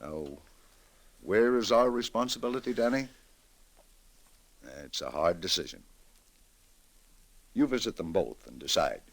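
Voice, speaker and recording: Grave, deep-voiced, expressive radio judge. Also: male voice, full character voice. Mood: solemn, deliberate. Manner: measured, deliberate, dramatic. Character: grave, reflective male judge with a troubled conscience. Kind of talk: old-time radio drama acting.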